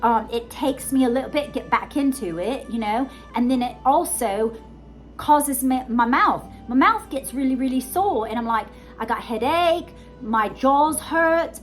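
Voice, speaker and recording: Female self-help vlogger, fairly high voice, put-on British accent, chatty and emphatic monologue over soft music. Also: a little slow. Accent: adopted British. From United States, born in Georgia. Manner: rambling, conversational, emphatic.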